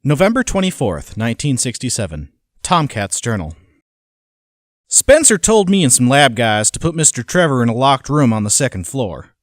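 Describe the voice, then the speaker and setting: A man's voice: mid-range voice, very animated, normal speed, dry recording, studio quality, close to an everyday voice. An actor playing a simple, obedient hired hand who reads his journal in a plain-spoken, hesitant way for an audio drama. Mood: dutiful, puzzled.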